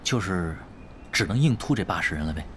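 Quick, cocky gamer strategist. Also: male voice, low-pitched, lively intonation, fairly bright tone, slight background noise. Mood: brisk planning. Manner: unruffled, teasing, self-assured. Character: cool, cocky young male gamer strategist. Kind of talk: Chinese audio drama acting.

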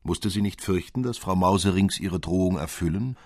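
A secretive, wry clockmaker godfather in a storybook, played by a male reader, speaks in measured phrases. The voice is low and slightly husky. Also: a little mellow, no room sound, studio quality. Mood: wry storytelling.

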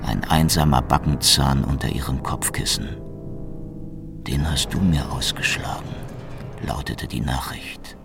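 An actor playing a hard-boiled, bitter secret policeman who narrates his story in a brooding, deadpan radio-drama style. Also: a little slow, heavily acted. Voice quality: deep, gravelly. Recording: music behind the voice. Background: music.

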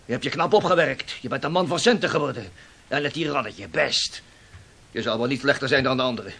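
An actor playing a hot-tempered young sailor in a radio play, scornful and combative. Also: male voice, mid-range voice, a little fast, creaky, bright, forceful tone, slightly rough, full character voice. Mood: defiant, bitter. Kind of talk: theatrical radio play acting. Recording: older radio drama recording.

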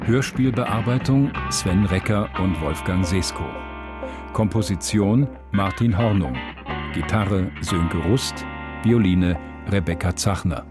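A man reading scripted radio play credits in a measured announcer's style. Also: low-pitched. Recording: guitar music behind the announcement.

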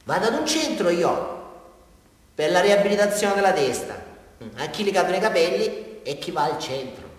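A man's voice: high-pitched, big pitch swings, moderate pace. An actor playing a simple-minded, eager male suspect in a radio drama, rambling and repeating himself in a southern Italian accent as he confesses to police. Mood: earnest, confiding. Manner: rambling, repetitive, insistently addressing his listener. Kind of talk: theatrical radio monologue, colloquial confession. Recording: very echoey hall, distant mic.

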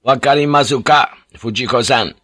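A man's voice: mid-range voice, moderate pace, bright, forceful tone, no echo, clean studio sound, voice pushed up. A man playing a commanding foreign man among samurai in an audiobook story, with a firm, imperious, clipped manner. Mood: firm, controlled.

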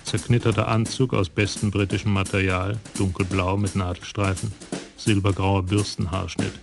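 Brief: male narrator, low and gravelly, wry measured delivery over music. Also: flat delivery. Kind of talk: scripted radio drama narration.

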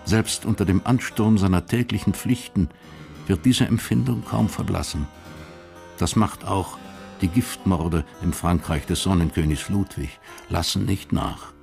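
A man narrating a period crime radio drama in a sober, measured, literary style. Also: low-pitched, creaky, a little mellow, faint music behind.

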